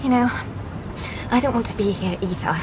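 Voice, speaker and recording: An actress playing a prickly young English woman engineer in a wartime radio drama, flustered and halting. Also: a little high, natural voice. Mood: earnest. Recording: loud engine and wind noise behind.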